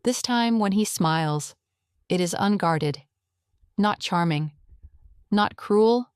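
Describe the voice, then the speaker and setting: A woman narrating a scripted audio drama in a measured, atmospheric storytelling manner. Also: mid-range voice, smooth, dry recording, clean studio sound.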